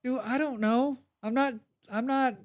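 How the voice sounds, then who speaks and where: A man's voice: very high-pitched, steady tone, unhurried. A man with a head cold chatting casually while live-streaming a game, humming to himself and answering his chat.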